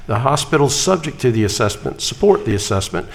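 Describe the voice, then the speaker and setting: A man chairing a city council meeting, running through motions and votes in a brisk, procedural way with light jokes. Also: medium pitch, very animated, gravelly.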